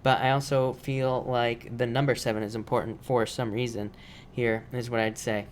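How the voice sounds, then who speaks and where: A man's mid-pitched voice, roughened by allergies, reading tarot for viewers on a live stream. He speaks loosely and repeats himself, leaning on fillers as he moves from card to card. A faint hiss sits under the recording. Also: flat delivery, normal speed. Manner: casual, repetitive, many fillers. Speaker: tarot reader.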